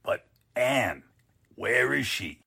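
An actor playing a bewildered, flustered newspaper boss, halting and exclamatory, in 1940s radio drama style. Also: male voice, medium pitch, very animated, gravelly, sharp tone, breathy edge, no room sound, clean studio sound, full character voice. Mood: alarmed.

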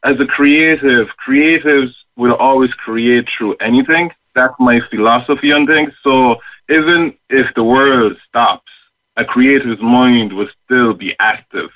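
A man's voice: medium pitch, measured pace. Earnest man on a tinny phone line. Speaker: interview guest, rapper and youth arts worker. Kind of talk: thoughtful interview talk. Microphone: phone call.

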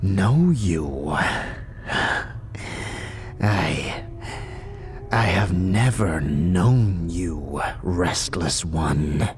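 Ancient male scribe: deep, extremely slow, weary and wheezy, solemn audio-drama sage. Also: darkish tone, slight background noise, heavily acted. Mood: weary, cryptic. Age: old. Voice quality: breathy, ragged wheeze. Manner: slow, solemn, halting delivery. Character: ancient, weary, cryptic old male undead-realm scribe.

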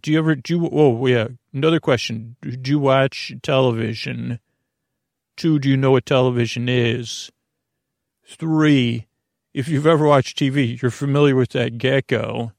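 A man delivers a rambling sleep-podcast monologue in a mid-range voice full of creak. His manner is lulling and hesitant, looping through tangents and half-finished phrases. The recording is very dry, with no room sound. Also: medium pitch, no room sound. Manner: lulling, soothing, meandering and halting.

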